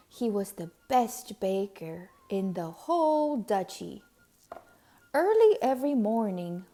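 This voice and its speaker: A woman narrates a children's picture book in a mellow, relaxed voice. She reads very slowly, setting out each phrase with care. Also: a little high. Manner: deliberate, measured phrasing. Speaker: read-aloud storyteller.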